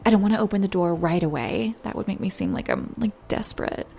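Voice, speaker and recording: A woman playing a nervous, lovesick young pop star in an intimate audio roleplay, speaking in a halting, flustered way. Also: mid-range voice, very animated, a little mellow. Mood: giddy, anxious. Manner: flustered, halting, self-interrupting, breathy sighs.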